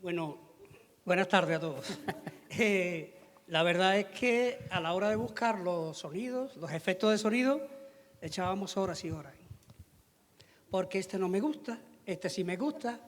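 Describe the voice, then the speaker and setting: A high-pitched male voice with an Andalusian accent, chatting colloquially at a public presentation. He tells things like anecdotes, and the hall around him rings with long reverb. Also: expressive, measured pace. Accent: Andalusian Spanish. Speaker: radio sound technician. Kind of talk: informal speech at a presentation. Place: large indoor hall at a public event.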